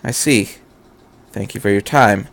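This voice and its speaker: A man voicing a calm, sceptical female scientist in a fan fiction audiobook, measured and matter-of-fact. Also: lowish voice, gravelly, voice pushed down. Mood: dry, pensive.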